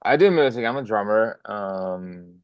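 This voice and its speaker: Danish man talking casually, lower voice. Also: animated, unhurried, smooth, no echo, muffled recording. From Denmark. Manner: many fillers and restarts, friendly rambling. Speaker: guest drummer. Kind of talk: casual interview talk.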